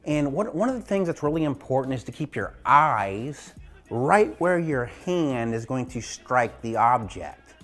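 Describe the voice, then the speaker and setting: A man's voice: mid-range voice, sing-song, unhurried, fairly bright tone, soft background music. A man coaching a home exercise game as a gym teacher, casual, encouraging and instructive, speaking indoors.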